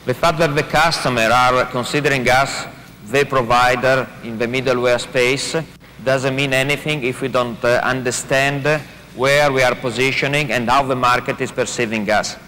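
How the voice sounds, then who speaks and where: A man's voice: mid-range voice, fairly even intonation, moderate pace, pushed and bright. A man presenting at a corporate conference, introducing a guest speaker with hesitant, slightly non-native phrasing. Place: large conference hall. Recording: long hall reverb, faint noise.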